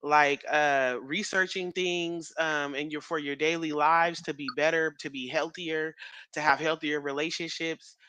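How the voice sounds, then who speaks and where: A man in his thirties chatting casually as a podcast co-host, rambling with fillers and quick laughs. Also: a little high, fairly even intonation, sharp tone, clean tone, dry recording. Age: 30s.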